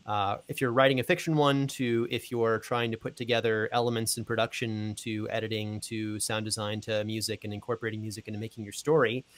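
A young man with a fairly low voice explains things in a measured, matter-of-fact manner. He is a guest on a call, speaking into a close desk microphone. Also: fairly bright tone. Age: young adult. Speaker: podcast producer guest. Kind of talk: explanatory talk. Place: small indoor room, video call.

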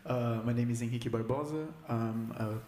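A man's voice: medium pitch, steady tone, moderate pace. A man introducing himself in an easygoing way into a handheld microphone during a workshop. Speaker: participant. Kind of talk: self-introduction. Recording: echoey classroom, background noise.

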